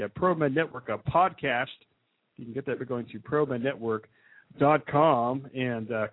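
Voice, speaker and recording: A man's voice: mid-range voice, breathy edge, no room sound. A man in his thirties hosting a podcast, laid-back and joking, with plenty of fillers. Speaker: podcast host, doctor. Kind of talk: casual radio-style podcast hosting. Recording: thin, phone-like sound.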